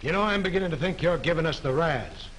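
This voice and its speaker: A police detective sizing up a suspect. He talks fast and plainly in a fairly high voice over faint hiss. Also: lively intonation, fairly bright tone, rough recording. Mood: brisk. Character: skeptical male police detective. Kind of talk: 1930s film acting.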